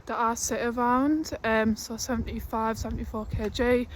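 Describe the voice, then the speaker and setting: A woman's voice: a little high, steady tone, normal speed, a little hiss or hum. A twenty-year-old woman, chatting casually in a fitness vlog with fillers and laughs, a little breathless from walking uphill. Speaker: fitness vlogger. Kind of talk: casual vlog talk to camera. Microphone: phone held at arm's length.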